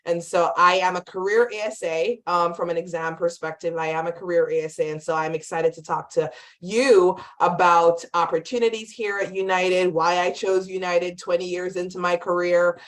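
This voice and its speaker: A woman's voice: on the low side, little pitch movement, normal speed. A woman in her forties from Jamaica with a Jamaican accent, introducing herself as an actuarial vice president on an online career fair panel in a friendly, conversational way.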